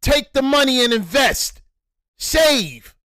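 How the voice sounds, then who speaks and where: A man speaking with a high-pitched voice delivers reaction commentary. He talks at a deliberate, slow pace, lecturing and repeating his points for emphasis. The sound is dry and close. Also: expressive, pushed and bright, no room sound. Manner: preachy, repetitive, emphatic.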